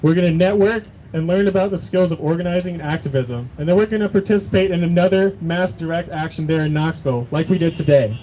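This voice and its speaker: A man rallies an outdoor crowd with urgency, his voice set high for a man. Faint street noise sits behind his speech. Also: fast talker, few pauses. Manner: rousing, urgent call to action. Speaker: activist organizer. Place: outdoor rally.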